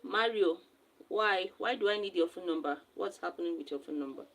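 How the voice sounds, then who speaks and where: A woman's voice: on the low side, brisk, a bit bright, rough recording. A woman from Nigeria hosting a casual live stream, who has just woken up and reads viewer comments aloud and answers them directly. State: just woke up.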